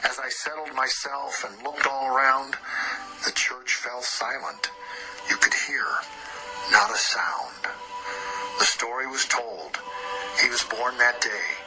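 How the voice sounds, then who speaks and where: An American man reading a rhyming poem as a story, measured, with pauses between short phrases. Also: medium pitch, poor audio. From Ohio, United States. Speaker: amateur poet. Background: loud music.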